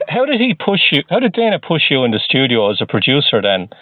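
A man's voice: medium pitch, huge pitch swings, fast, no room sound. A man interviews a musician, asking short, attentive questions. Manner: brief, attentive, short prompts. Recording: dry tinny phone line.

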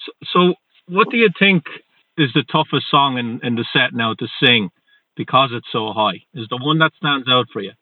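A man's voice: mid-range voice, expressive, moderate pace, no room sound. A male interviewer asking questions with short "yeah" affirmations and some stumbling restarts. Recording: dry, tinny phone-like line.

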